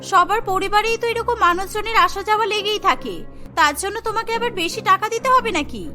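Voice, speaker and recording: A woman's voice: very high-pitched, animated, a little fast, sharp tone, faint music behind, exaggerated voice. A woman voicing an exasperated, indignant housewife who complains firmly in a cartoon story. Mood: stern.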